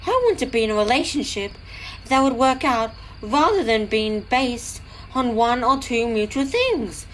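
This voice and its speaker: A woman's voice: a little high, a little slow, a little hiss or hum, lightly acted, rough recording. A woman playing a sensible, frank female locomotive with a firm, reproving manner in an audio drama. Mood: frank, earnest. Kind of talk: fan audio drama acting.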